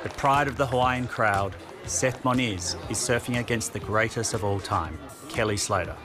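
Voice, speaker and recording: Low-voiced male narrator over music. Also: moderate pace, crowd noise. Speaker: documentary narrator. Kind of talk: scripted narration.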